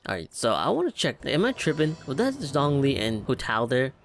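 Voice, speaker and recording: A man's voice: mid-range voice, wildly swooping pitch, moderate pace, slight background noise. A young man hosting a gaming video with casual, self-deprecating and jokey reaction commentary. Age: young adult. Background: faint music.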